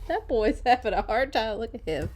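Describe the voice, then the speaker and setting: A woman reading a children's story aloud with dramatic, deliberate phrasing and chatty, playful asides. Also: fairly high pitch, sing-song, darkish tone. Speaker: read-along storyteller for children. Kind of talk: expressive picture-book read-aloud with chatty asides.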